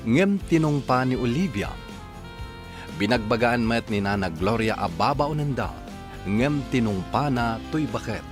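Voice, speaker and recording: A man with an Ilocano accent announcing and narrating a radio drama in a scripted, theatrical style. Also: mid-range voice. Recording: music under the voice.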